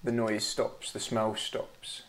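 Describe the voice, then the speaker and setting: A man running a tabletop game as game master, laughing often and dropping to a slow, hushed delivery for dark narration. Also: on the low side, flat delivery.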